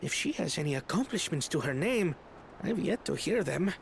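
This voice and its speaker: An actress playing a doubtful, snide female onlooker gossiping briskly in a video game. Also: deep voice, very animated, gravelly, slight background noise, exaggerated voice. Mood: incredulous. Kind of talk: scripted video game dialogue.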